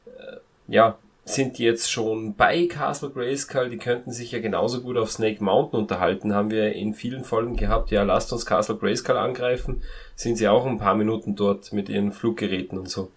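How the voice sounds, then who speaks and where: A man's voice: lowish voice, fairly even intonation, normal speed, slightly rough. A man in a casual, humorous review chat, thinking aloud hesitantly as a podcast panelist.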